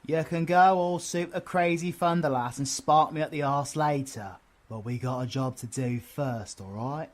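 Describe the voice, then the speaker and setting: A man's voice: on the high side, moderate pace, hardly any pauses, natural voice. A British man playing a cocky, sardonic, foul-mouthed but affectionate supervillain in an audio roleplay, with slangy, teasing delivery. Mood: earnest, low-key. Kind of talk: audio roleplay drama acting.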